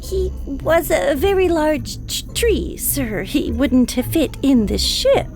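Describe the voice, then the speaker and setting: A woman's voice: high voice, very animated, takes it slowly, music in the background, heavily acted. A woman playing a meek, dutiful junior spaceship crew member in an audio drama, hesitant and deferential. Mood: defensive, sheepish. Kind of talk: scripted audio drama acting.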